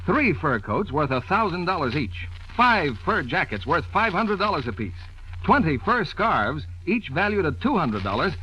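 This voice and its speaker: A man's voice: fairly high pitch, very animated, moderate pace, sharp tone. An American man announcing an advertisement in 1940s radio style. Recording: dull, faintly noisy old radio recording.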